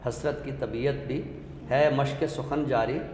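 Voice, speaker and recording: A man explains literature as a talk show guest, measured and scholarly, often posing rhetorical questions. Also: mid-range voice, steady tone, a little mellow. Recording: echoey hall-like room with faint noise.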